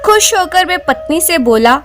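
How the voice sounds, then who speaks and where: A woman reads a scripted moral tale in a high, very lively voice. She is unhurried and expressive, letting the melody rise and fall over each sentence. Music plays clearly behind her. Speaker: storyteller. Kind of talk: scripted Hindi folk-tale narration.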